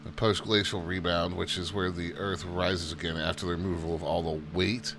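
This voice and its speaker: A low-pitched man talks through a flight sim session in an easygoing, chatty tour-guide manner, joking at his own expense. A constant hum runs beneath the recording. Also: moderate pace. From United States. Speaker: flight sim channel host. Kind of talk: casual gameplay commentary. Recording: steady background hum.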